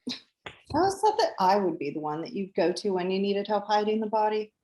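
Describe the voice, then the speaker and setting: A woman's voice: medium pitch, animated, fairly quick, a little mellow, lightly acted, rough recording. A woman playing a gushing, meddling pregnant best friend with a teasing, theatrical manner in a screenplay table read. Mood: sly. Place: remote video call.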